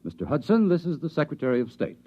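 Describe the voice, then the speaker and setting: An actor playing a formal, hesitant government chief of protocol in a 1950s radio drama. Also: male voice, a little high, very animated, quick pace. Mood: formal, flustered. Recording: muffled old radio recording.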